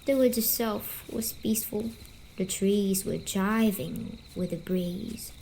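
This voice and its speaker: A woman voicing a gentle, teasing female sleep paralysis demon, speaking softly and soothingly in an ASMR story-telling roleplay. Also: mid-range voice, vocal fry, a little hiss or hum, low-quality recording. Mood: hushed storytelling. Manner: slow, soothing, coaxing, softly spoken.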